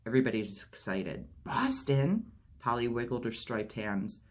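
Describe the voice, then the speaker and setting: A man reading a memoir aloud as a storytime host, steady narration with lightly acted dialogue. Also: on the low side, brisk. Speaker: audiobook reader.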